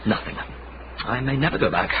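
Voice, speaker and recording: An actor playing a naive, soft-hearted young gentleman spy, exclaiming theatrically in a period radio drama. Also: mid-range voice, expressive, a little fast, close to an everyday voice. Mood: sheepish, animated. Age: young adult. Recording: muffled, very noisy vintage radio recording.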